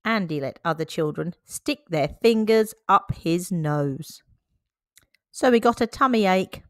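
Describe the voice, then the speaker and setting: A woman reading a children's picture book aloud, slow, clear and expressive. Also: lowish voice, dry recording, studio quality.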